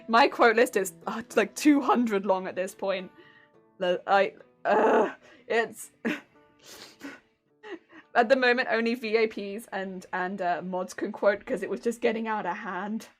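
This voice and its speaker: A woman's voice: medium pitch, a little slow, soft background music. A young woman from Hampshire with a southern English accent, chatting casually and giggling while she sews on a craft stream. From Hampshire, England. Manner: giggly, rambling, chatty with frequent laughs. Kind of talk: casual live-stream chat while sewing.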